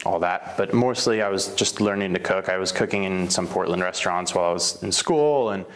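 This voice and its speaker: A man with a fairly low voice talks through his background in an oral history interview. He is relaxed and meandering, often trailing off with fillers and correcting himself mid-sentence. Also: fairly quick. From Slovenia. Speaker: bakery owner, interviewee.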